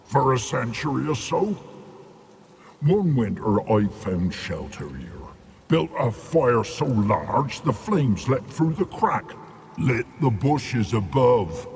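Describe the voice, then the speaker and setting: A pompous, gravelly, booming-echo false god. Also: male voice, big pitch swings, slow pace, darkish tone, exaggerated voice. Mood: boastful. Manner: pompous, theatrical declamation. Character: fat greedy creature posing as a god, pompous and grumpy. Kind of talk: video game character acting.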